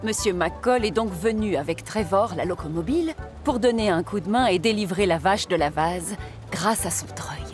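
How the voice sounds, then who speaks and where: A woman giving scripted storybook narration for a cartoon, gentle and expressive. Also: medium pitch, normal speed. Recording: cartoon dub with loud music.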